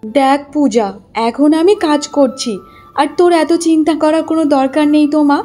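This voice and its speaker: Casting: poor middle-aged village mum played by a woman, high voice, fussing, devoted, cartoon moral tale, soft music underneath. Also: normal speed, lightly acted. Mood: reassuring, insistent. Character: poor, hardworking, self-sacrificing middle-aged village mother. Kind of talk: Bengali cartoon story dialogue.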